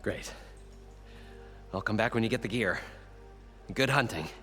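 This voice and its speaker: An actor playing an eager, chatty salvager in a video game cutscene. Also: male voice, lowish voice, animated, a little slow, a bit bright, faint music behind. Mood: friendly. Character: eager older male salvager, folksy and chatty.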